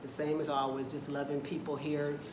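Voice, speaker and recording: Low-voiced chatty American woman. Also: flat delivery, moderate pace, roomy sound, background noise. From United States, Atlanta area. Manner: chatty, candid, talks in loose run-on asides. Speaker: travel vlogger. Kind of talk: casual to-camera vlog. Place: indoors in a rental apartment.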